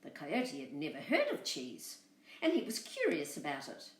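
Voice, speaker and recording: A woman telling a storybook tale to children, expressive and speaking directly to her young listeners. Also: low-pitched, normal speed. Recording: roomy echo, far from mic.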